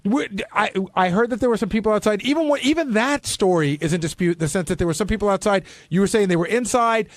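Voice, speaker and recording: Fast, high-voiced insistent radio man. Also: no room sound, clean studio sound. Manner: challenging, insistent. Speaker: radio producer and show regular. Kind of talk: unscripted radio discussion.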